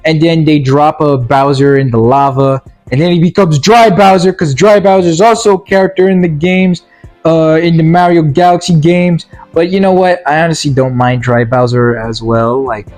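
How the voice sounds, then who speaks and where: A man's voice: fairly high pitch, steady tone, normal speed, darkish tone, faint music behind. Rambling, exasperated man reviewing a movie. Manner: rambling and exasperated, stumbling over words. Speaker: video game movie reviewer. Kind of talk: casual comedic rant recap of a film.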